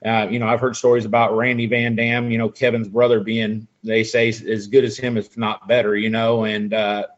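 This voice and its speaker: A man's voice: fairly low pitch, little pitch movement, moderate pace, muffled recording. Laid-back rambling man in his forties. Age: 40s. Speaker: guest angler. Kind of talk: casual podcast chat.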